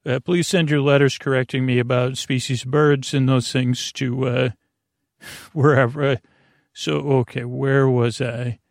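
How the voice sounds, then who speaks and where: A man hosting a bedtime podcast, talking through a long, meandering, unhurried intro meant to ease listeners toward sleep. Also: mid-range voice, vocal fry, no room sound, studio quality. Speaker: podcast host.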